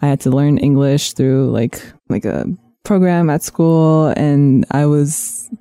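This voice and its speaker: A woman in her twenties with an American accent, whose first language is Armenian, talks as a radio interview guest. Her voice sounds very dark and mellow, recorded dry and close. She speaks in a relaxed, chatty way, full of "like"s and "um"s. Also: low voice, slow pace, clear, resonant tone, no room sound. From Maryland, US. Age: 20s. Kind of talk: casual conversation.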